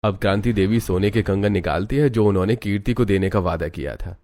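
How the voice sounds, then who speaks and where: A man narrates a family drama in a theatrical storytelling style. His voice sits fairly low, and music plays softly behind him. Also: a little fast. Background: background music.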